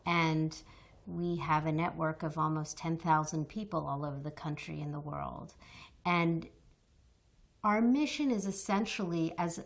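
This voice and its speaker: An American woman with a somewhat low voice, answering interview questions at length. She speaks with earnest, emphatic conviction and often repeats a word as she restarts a thought. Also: vocal fry. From United States. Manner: passionate, emphatic, repeats words when restarting. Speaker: interview guest, activist.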